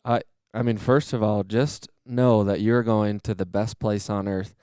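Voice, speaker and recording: Smooth, dark-voiced enthusiastic man. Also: fairly low pitch, fairly even intonation, measured pace, no room sound, clean studio sound. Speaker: missionary training center manager, podcast guest. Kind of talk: earnest conversational explanation.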